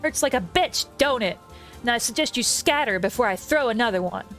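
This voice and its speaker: A woman voicing a fierce, vulgar ancient grandmother, tiny but strong, with a gruff, crude, commanding manner. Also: mid-range voice, normal speed, faint music behind, close to an everyday voice. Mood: scornful, menacing.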